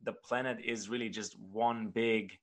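A man's voice: on the low side, steady tone, a little slow. A man hosting an interview show, asking reflective questions and repeating words as he thinks. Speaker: podcast host. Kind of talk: conversational interview.

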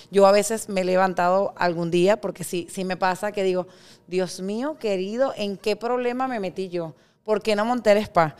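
A woman being interviewed as an entrepreneur guest, speaking in a frank, emphatic and expressive way. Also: mid-range voice, clear, resonant tone. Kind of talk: interview conversation.

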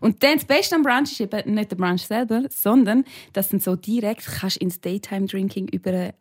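A woman's voice: mid-range voice, normal speed. A woman from Switzerland speaking with a Swiss German accent, a playful, teasing podcast host chatting casually. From Switzerland, Sarganserland area.

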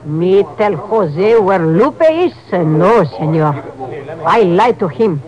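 A woman's voice: fairly low pitch, animated, slow, dull audio, crowd noise. An actress playing a wary, hardworking Mexican mother in a 1950s radio drama, speaking emphatic broken English with a Mexican Spanish accent. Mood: earnest. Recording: old radio drama with music.

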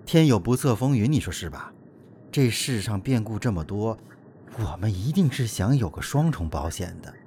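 Animated, fussy talent agent. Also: male voice, on the low side, normal speed, a little hiss or hum. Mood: careful, businesslike. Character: excitable, cautious male talent agent, fussing over his star. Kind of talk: Chinese audio drama acting.

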